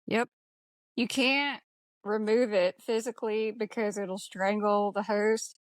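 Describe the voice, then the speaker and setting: A woman with a medium-pitched voice talks casually about a film as a podcast co-host. Her delivery is blunt and informal, full of slang. The sound is dry, with very little room. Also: steady tone, takes it slowly, dry recording.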